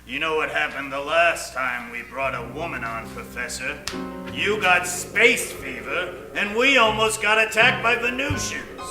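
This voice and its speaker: An actor playing a gruff, bossy space captain with hard-boiled slang in an old-time radio serial. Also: male voice, fairly high pitch, measured pace, reverberant, recorded from a distance, music in the background, close to an everyday voice. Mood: gruff, stern. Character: gruff, bossy male space captain, grumpy hero pilot.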